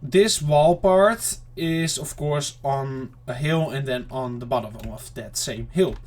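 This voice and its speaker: A man giving casual, rambling let's-play commentary to viewers, his throat slightly off and clearing it now and then. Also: mid-range voice, slow, a little mellow. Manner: rambling, chatty self-talk to viewers. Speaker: gaming channel host.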